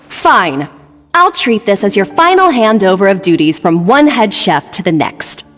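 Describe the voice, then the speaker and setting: A woman's voice: medium pitch, sing-song, measured pace, a bit bright, big-room sound, soft background music. An actress voicing a smug, ambitious female rival chef in a video game who taunts with sarcasm.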